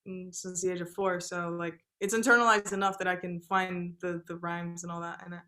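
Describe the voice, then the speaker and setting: A young woman from Belgium, a singer-songwriter chatting casually as a podcast guest, full of fillers and quick laughs. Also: lowish voice, little pitch movement, bright, forceful tone. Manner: chatty, many fillers, laughs while talking. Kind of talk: casual interview chat.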